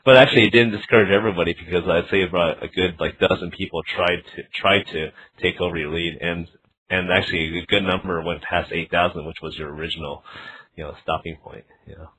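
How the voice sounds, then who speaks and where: A man interviewing someone casually over the phone as a developer, talking in a halting way and often saying "you know". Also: deep voice, fairly even intonation, fairly bright tone, poor audio. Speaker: interviewer and developer of a learning game. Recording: phone interview with clear background noise.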